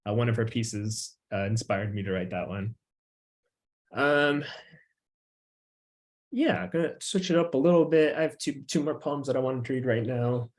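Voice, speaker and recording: Relaxed young man reading poetry. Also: mid-range voice, normal speed, long pauses. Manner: relaxed, loose talk with frequent "like" fillers; emphatic, rising refrain when reading poems. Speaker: featured poet. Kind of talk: casual chat and poetry reading.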